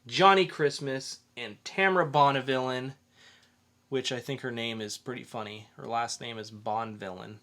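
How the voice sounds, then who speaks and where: A man's voice: mid-range voice, moderate pace, pushed and bright. A man co-hosting a podcast, chatting casually about pop-culture news and freely offering opinions. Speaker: podcast co-host.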